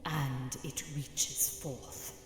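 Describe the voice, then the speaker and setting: A woman voicing an ominous, unseen dark presence in a menacing fantasy game style, heard in a long cavernous echo. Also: extremely low pitch, steady tone, heavily acted. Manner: slow, menacing.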